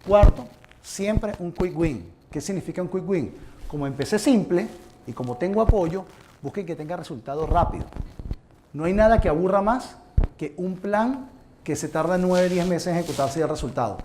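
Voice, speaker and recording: Seminar presenter, male, from Venezuela; slightly raised pitch, earnest advisory delivery over a noisy room recording. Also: animated, moderate pace. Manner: earnest, advising, addresses the audience directly. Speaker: conference presenter. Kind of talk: live seminar talk with audience questions.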